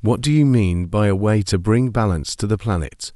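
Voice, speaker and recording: A man's voice: normal speed, mellow, no room sound, studio quality, natural voice. A man playing a stern survivor leader in an amateur audio drama, curt, serious and commanding. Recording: very noisy with background rumble.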